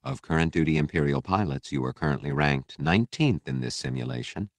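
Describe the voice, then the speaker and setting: A man's voice: a bass voice, animated, fairly quick, creaky, dry recording, exaggerated voice. A man voicing an impersonal flight simulator computer in an audiobook, announcing figures precisely.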